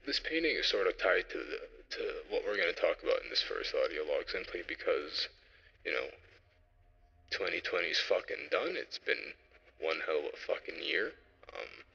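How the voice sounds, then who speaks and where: A young man presenting an informal solo audio log, speaking haltingly and reflectively as he thinks aloud, through a radio-style effect. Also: deep voice, gravelly, pushed and bright, slightly rough, big-room sound, lo-fi sound. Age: young adult.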